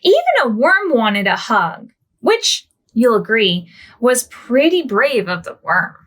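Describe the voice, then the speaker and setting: A woman reads a picture book to preschoolers at a gentle, unhurried pace. Her voice sits high and swoops up and down as she coaxes the children along. It is the warm sing-song of library storytime. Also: big pitch swings.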